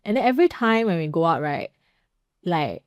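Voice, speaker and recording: A young Singaporean woman with a Singaporean accent chats easily as a podcast host, filling her stories with "like" and "you know." Her voice sits slightly low. The sound is dry and close, with no room echo. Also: big pitch swings, normal speed. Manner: chatty, storytelling, frequent "like" and "you know". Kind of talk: casual conversational interview.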